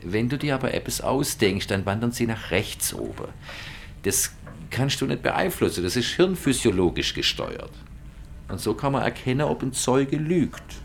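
An actor playing a male private investigator in naturalistic radio drama, matter-of-fact and measured in the way he explains things. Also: medium pitch, big pitch swings, creaky, a little hiss or hum. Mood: explaining, playful.

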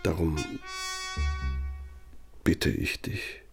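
An actor in a radio drama playing an aging, bitter Nazi architect, speaking in a reproachful, deliberate, rhetorical manner. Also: male voice, music in the background. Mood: pleading, resolute. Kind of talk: German radio drama monologue.

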